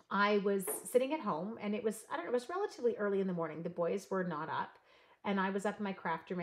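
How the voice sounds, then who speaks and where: A woman from Canada hosting a live card-making stream, chatting and reading comments while she cuts. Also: medium pitch. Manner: rambling, animated, reads chat comments aloud. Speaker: card-making livestream host. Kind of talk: chatty live crafting demo. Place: craft room at a desk.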